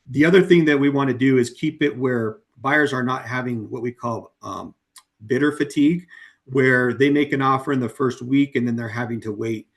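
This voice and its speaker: A man presenting a webinar as a company co-founder, walking through a software demo at an easy, unhurried clip. He keeps it conversational and fills the gaps with ums and you-knows. His voice has worn a little hoarse from talking so long. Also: mid-range voice. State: voice going hoarse. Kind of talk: casual walkthrough of a software demo.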